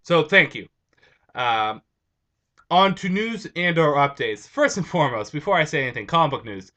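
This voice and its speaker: A young man chatting casually on his home vlog, his voice a bit raspy and stuffed up from allergies, rambling with restarts. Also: fairly high pitch, very animated, moderate pace, sharp tone. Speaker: vlogger.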